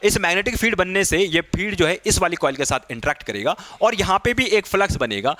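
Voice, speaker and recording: A man's voice: a little high, fairly bright tone. A man teaching an exam-prep lesson, explaining step by step with frequent short check-in questions and mixing in English technical terms. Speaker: physics teacher for exam preparation.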